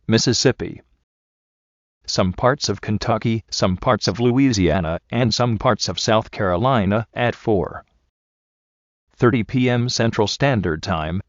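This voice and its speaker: A man playing a solemn emergency broadcast announcer, reading scripted warnings in a formal, measured manner. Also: fairly low pitch, lightly acted. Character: solemn male emergency alert system announcer. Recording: dull lo-fi, roomy echo.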